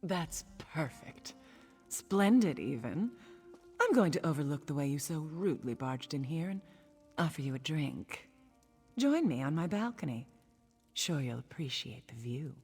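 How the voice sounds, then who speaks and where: A woman plays a haughty governor from a fantasy game. Her low voice swings theatrically from line to line, sardonic and condescending, with music playing clearly behind her. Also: sing-song, a little slow, darkish tone, full character voice. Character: haughty, imperious female governor, sardonic and worldly. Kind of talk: dramatic video game dialogue.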